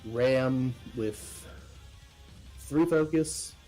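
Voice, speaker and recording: Man, average pitch, tabletop game player, informal, thinking aloud, faint guitar music in background. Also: very slow, mellow. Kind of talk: casual game-table talk.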